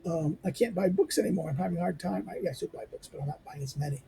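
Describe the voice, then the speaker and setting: A hoarse man hosting a lecture channel, rambling through his outro with lots of fillers, restarts and asides. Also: fast, mellow, slight background noise. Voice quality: squeaky. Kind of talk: informal spoken outro to a reading lecture.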